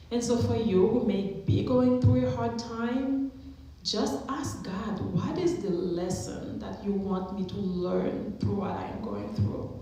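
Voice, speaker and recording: An earnest woman in her thirties gives an encouraging talk to students and keeps asking them questions. Her voice is mid-pitched. It rings through a very echoey chapel. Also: warm tone. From Haiti. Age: 30s. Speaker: chapel speaker, alumna. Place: school chapel.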